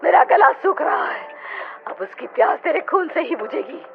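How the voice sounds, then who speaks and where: A woman's voice: high voice, expressive, moderate pace, creaky, background noise, pitched above the performer's own voice. A woman voicing a vengeful, menacing female ghost bride in a dramatic horror cartoon style. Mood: bloodthirsty rage. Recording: muffled audio with loud music bed.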